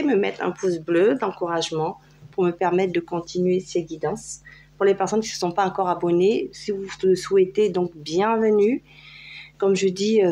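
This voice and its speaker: A woman's voice: mid-range voice, mellow, a little hiss or hum. A French woman giving a casual tarot reading, chatty and hesitant, with many fillers. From France. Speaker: tarot card reader. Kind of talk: casual tarot guidance video.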